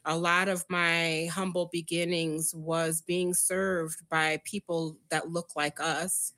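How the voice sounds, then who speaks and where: A woman's voice: lowish voice, level tone, slow pace, sharp tone. A woman in her fifties from Minneapolis, a guest, reflecting on her story. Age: 50s. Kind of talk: personal storytelling.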